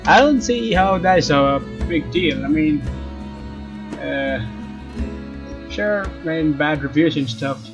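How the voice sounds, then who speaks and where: A man narrating a visual novel as a let's player, expressive and informal, with casual asides. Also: fairly high pitch, takes it slowly, pauses often. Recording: dull lo-fi sound, music behind. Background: game music with guitar.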